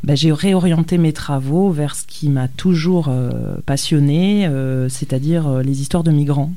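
A middle-aged woman historian, a guest on a radio interview, who explains at length with frequent thoughtful pauses and hesitations. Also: sits low, dark tone. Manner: thoughtful, many hesitations with "euh". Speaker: historian, university lecturer.

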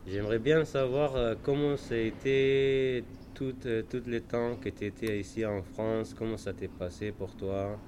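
A man's voice: mid-range voice, steady tone, a little slow, hardly any pauses, a little mellow, slight background noise. A man interviewing a guest, asking simple, encouraging questions with some fillers. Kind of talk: casual interview.

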